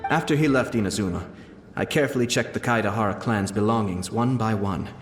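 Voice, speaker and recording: A man playing a composed, courteous and calculating young clan leader in a video game, speaking formally and deliberately. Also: on the low side, faint music behind, natural voice. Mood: composed, explanatory. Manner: measured, formal, polite and deliberate. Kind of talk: scripted video game dialogue.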